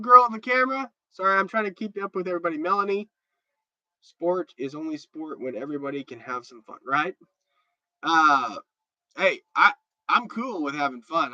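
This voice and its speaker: A congested man rambles through a casual livestream and reads out his viewers' comments. His voice is very bright and edgy and sits slightly high. The audio is dry and dull, like a lo-fi stream. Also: no room sound. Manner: rambling and chatty, with repeated restarts. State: stuffed up. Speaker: livestream host.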